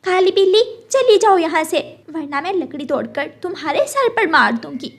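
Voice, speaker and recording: A woman's voice: very high-pitched, expressive, normal speed. A woman voicing a protective, worried mother bird, earnest and motherly, in a children's cartoon. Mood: scolding.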